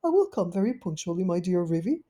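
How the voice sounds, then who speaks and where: A woman's voice: lowish voice, sing-song, fast, dark tone. A woman voicing a fussy, anxious, genteel little female dog in a storybook, fretting to herself. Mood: polite. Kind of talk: storybook character voice.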